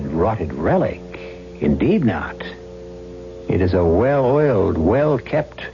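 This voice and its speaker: Slow, dramatic male radio host over music. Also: lowish voice. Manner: musing, dramatic, measured. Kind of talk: theatrical scripted narration, 1970s radio. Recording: muffled old radio recording.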